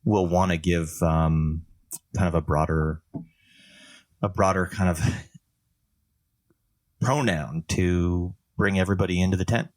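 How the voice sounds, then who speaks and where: A man's voice: low-pitched, steady tone, a little slow, long pauses, no room sound. A man from Canada chatting casually as a podcast co-host, explaining things in a thoughtful, discursive way.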